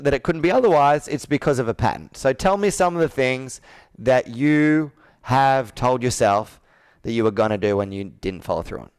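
Male sales coach, 30s, smooth voice, dry close sound, pushes with probing questions. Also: mid-range voice, lively intonation, normal speed, darkish tone, no room sound. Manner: assertive, probing, rhetorical questions. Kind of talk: persuasive coaching on a sales call. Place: indoor office with a flip chart, on a video call.

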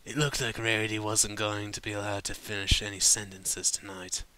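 A man reading fan fiction as an expressive, dramatic storybook narrator. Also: on the low side, normal speed.

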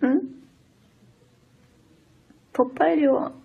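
A woman's voice: high voice, fairly even intonation, drawn-out words, creaky. A young woman with a Gyeongsang Korean accent, talking in a cute, coaxing, giggly way as if on a call to a boyfriend. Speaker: idol singer recording a dialect clip. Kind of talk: sweet phone-call style aegyo in dialect. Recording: dull lo-fi sound.

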